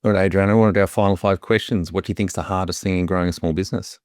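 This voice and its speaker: A man's voice: deep voice, fairly even intonation, very fast, no echo, clean studio sound. A man hosting a business podcast, asking brief questions and adding short affirmations. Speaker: podcast host. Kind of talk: interview questions.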